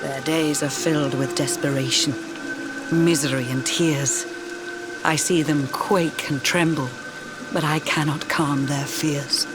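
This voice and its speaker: Video game mermaid queen: low, unhurried, solemn rhyming narration, regal. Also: fairly even intonation, heavy background noise. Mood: grave, sorrowful. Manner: stately, measured, grave. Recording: game music behind voice.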